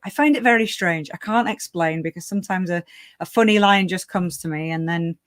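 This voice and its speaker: A woman's voice: lowish voice, a little fast. A British woman in her forties hosting a casual livestream chat, laughing often and using lots of fillers. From Britain. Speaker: livestream show host.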